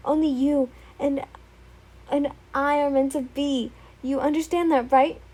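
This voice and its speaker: A woman's voice: high-pitched, steady tone, measured pace, darkish tone. A woman voicing an obsessive, tearful young man who pleads in an emotional way. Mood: coaxing.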